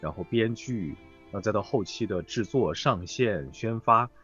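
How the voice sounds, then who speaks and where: A lowish-voiced man talks as a guest in a casual live interview. His pitch moves around a lot while his phrasing comes in halting pieces. The livestream sound is a bit muffled, with music underneath. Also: expressive, fairly bright tone.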